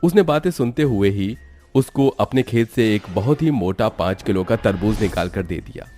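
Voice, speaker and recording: A man narrates a scripted horror cartoon story. His voice is fairly low with lively, swinging intonation and a dramatic storyteller's delivery, with faint music behind it. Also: normal speed.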